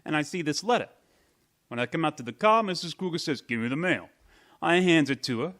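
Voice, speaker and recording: A man's voice: a little high, expressive, a little fast, fairly bright tone. An actor playing a brash, slangy bodyguard and small-time chiseler in a radio mystery, with cocky wise-guy talk. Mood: sly, explaining.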